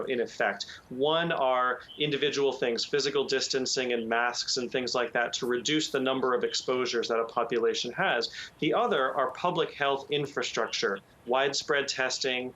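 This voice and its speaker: A man being interviewed on the news as a public health expert, explaining in a measured way with frequent ums and you knows. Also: mid-range voice, a bit bright, dull audio.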